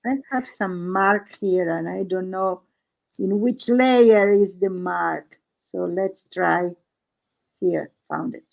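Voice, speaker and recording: Woman storyteller, english not her first language, talks really slow and halting, casual made-up tale. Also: mid-range voice, vocal fry, muffled recording. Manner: halting, phrase-by-phrase delivery with searching pauses. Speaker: host of an art and story stream.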